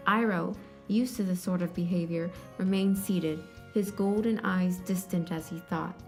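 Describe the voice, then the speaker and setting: A woman's voice: lowish voice, fairly even intonation, unhurried, darkish tone, faint music behind. A woman reading scripted story narration as the narrator of an audio drama.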